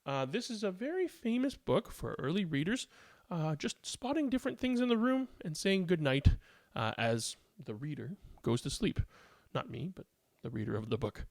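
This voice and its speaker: A man with a gravelly voice, hosting and reading bedtime picture books aloud for young children. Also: a little high, very animated, moderate pace, no echo. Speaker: host of a children's read-aloud show. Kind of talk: casual chat and bedtime picture book read-aloud.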